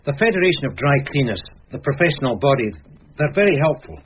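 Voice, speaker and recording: An actor playing a dogged, deferential police sergeant with a British accent in a radio crime drama, respectful and procedural. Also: male voice, medium pitch, sing-song, quick pace, lightly acted. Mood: matter-of-fact. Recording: dull, hissy old radio recording with clicks.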